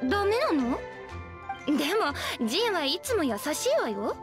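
Very high, breezy townswoman. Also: sing-song, a little slow, over music, full character voice. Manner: ladylike, casual speech. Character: breezy, carefree young townswoman, feminine and presuming. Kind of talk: Japanese game voice acting.

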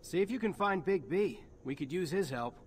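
An actor playing an earnest, plain-spoken human soldier hero in a video game, talking casually and matter-of-factly. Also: male voice, sits high, animated, normal speed, a little hiss or hum. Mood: determined. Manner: casual, colloquial, matter-of-fact. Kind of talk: video game character acting.

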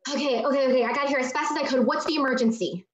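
A woman's voice: high voice, fairly even intonation, very fast, slight background noise, lightly acted. A woman acting an exasperated, streetwise young woman in a comedy table read, slangy and emphatic, from a living room on a video call. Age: young adult. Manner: slangy, emphatic, repeats words. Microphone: laptop microphone. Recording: echoey, dull video-call audio.

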